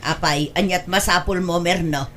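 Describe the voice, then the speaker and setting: A woman's voice: on the low side, steady tone, moderate pace, fairly bright tone, close to an everyday voice. A woman with an Ilocano accent playing a suspicious, quarrelsome village mother who scolds and accuses in a comedy drama. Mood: suspicious, grumbling. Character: suspicious, quarrelsome older village mother guarding her garden. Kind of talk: Ilocano comedy radio-style drama acting.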